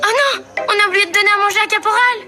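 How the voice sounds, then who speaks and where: An affectionate young cartoon child, speaks in a very high, small voice. The delivery is sincere and exclamatory, with loud music underneath. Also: female voice, fairly even intonation, racing. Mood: worried. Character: earnest, affectionate young child who loves pets. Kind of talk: animated cartoon voice acting.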